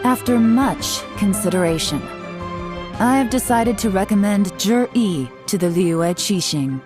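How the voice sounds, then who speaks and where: A woman playing a calm, commanding woman leader. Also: medium pitch, natural voice. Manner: measured, authoritative. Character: composed adult woman leader, calm and authoritative. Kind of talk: game dialogue. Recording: game audio with music behind.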